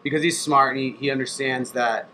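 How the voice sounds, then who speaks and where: A man with a bright, forceful voice talks loosely and swears often, slipping into other people's voices to tell a story. He speaks at an everyday middle pitch. Faint ambient noise sits behind him. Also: steady tone, brisk. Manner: swears freely, acts out other people's messages. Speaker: chef and brand founder. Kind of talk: casual interview talk.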